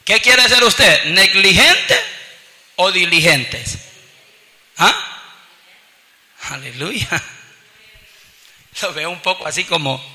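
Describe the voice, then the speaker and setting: A man's voice: extremely high pitch, sharp tone, long reverb tail, poor audio. A man with a Salvadoran accent preaching an evangelical sermon, emphatic and full of "gloria al Señor" and "aleluya" interjections. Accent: Salvadoran Spanish.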